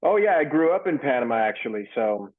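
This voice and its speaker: A man's voice: mid-range voice, sing-song, a little fast, phone-quality sound. A young man from Canada answering interview questions over a video call from home, loose and easygoing, with lots of fillers. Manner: loose, many fillers and restarts. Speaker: actor guest. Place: home in Toronto. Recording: thin video-call audio.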